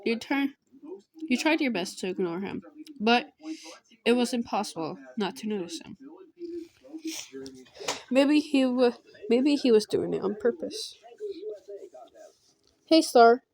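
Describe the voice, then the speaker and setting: Woman, higher-pitched voice, slow pace, halting game narration, repeated words, brief asides. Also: expressive, a little mellow, low-quality recording. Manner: halting reading, often stumbles and repeats words. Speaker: gameplay host reading a visual novel aloud. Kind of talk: reading game text aloud with brief asides.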